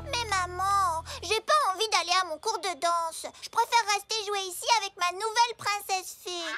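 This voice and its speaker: A performer voicing an excitable, demanding little zebra girl in a French children's cartoon. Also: very high voice, normal speed, soft background music. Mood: whiny, reluctant. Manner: gushing, insistent, childlike.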